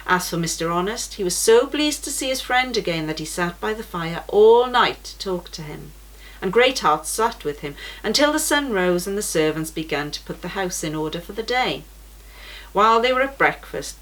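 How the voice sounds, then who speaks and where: A woman reading a children's storybook aloud in a gentle, measured way. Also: fairly low pitch, rough recording.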